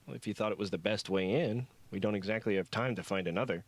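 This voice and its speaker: A man's voice: lowish voice, fast talker, dry recording. A man voicing a dry, sardonic, no-nonsense woman with a curt manner in an audiobook.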